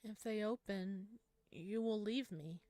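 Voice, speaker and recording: A woman's voice: mid-range voice, slow pace, warm tone, lightly acted. A woman voicing a timid, clingy, childlike male mold creature from a game, talking simply about himself in third person. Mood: anxious, possessive.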